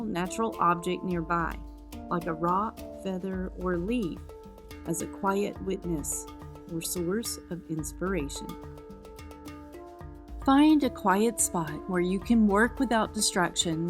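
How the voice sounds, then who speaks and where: A woman narrating a scripted guided meditation in a soft, gentle, calm and soothing way, as the guide of the practice. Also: medium pitch. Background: instrumental music.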